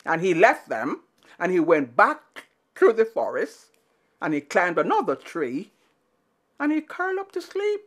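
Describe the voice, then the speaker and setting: A woman storyteller telling a tale to children in an animated, dramatic way, building through repetition and pauses. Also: on the low side.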